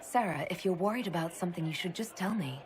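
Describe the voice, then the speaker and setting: A composed fantasy leader, a woman voiced by an actress, speaks at a middling pitch with plenty of melody. She is dry and teasing in a video game scene, with faint voices of a crowd behind. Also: lively intonation, fast, close to an everyday voice. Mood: gentle, wry.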